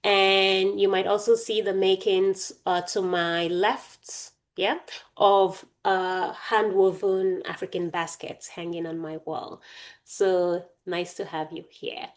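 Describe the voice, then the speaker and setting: A woman hosting an online panel, introducing herself with lots of fillers and pauses. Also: medium pitch, flat delivery. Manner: hesitant, many fillers. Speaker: host, executive director.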